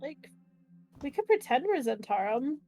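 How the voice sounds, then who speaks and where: A woman's voice: high-pitched, very animated, unhurried, a little mellow, faint music behind. A woman chatting casually and playfully as a player in a tabletop game.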